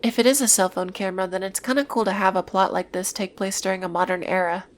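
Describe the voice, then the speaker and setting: A woman's voice: mid-range voice, fairly even intonation, fast. A woman narrating a scripted summary of a horror series, with informal, casual asides. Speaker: video essayist narrator.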